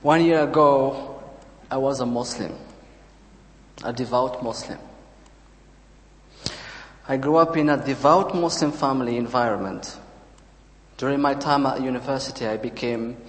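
Moroccan guy reading out his testimony, mid-range voice, halting and deliberate, roomy hall reverb. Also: medium pitch. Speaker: church member giving testimony. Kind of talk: prepared personal testimony. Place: large church room, speaking to a congregation.

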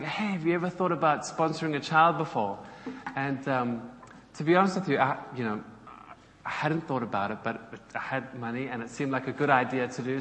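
A man's voice: on the high side, fairly bright tone. A man in his thirties with a South African accent, giving a chatty church talk as a guest speaker, often asking the congregation questions. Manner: chatty, engages the audience with questions. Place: church hall. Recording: echoey hall, faint ambience.

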